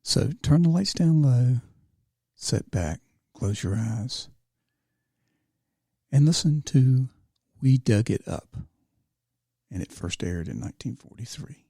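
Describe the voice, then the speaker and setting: Very dark, mellow male podcast host. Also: mid-range voice, expressive, slow, gravelly, long pauses, breathy edge, no echo, studio quality. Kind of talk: scripted podcast introduction. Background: faint music.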